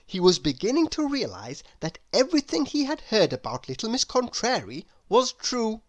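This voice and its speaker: A woman reading a children's story aloud with deliberate, expressive narration. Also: a little high. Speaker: storybook reader.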